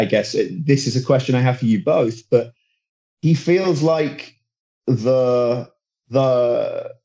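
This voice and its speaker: A man's voice: medium pitch, darkish tone, muffled recording. A man working as a football reporter, chatting casually on a podcast, enthusiastic and rambling, often restarting his sentences. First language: not German; German is his second language.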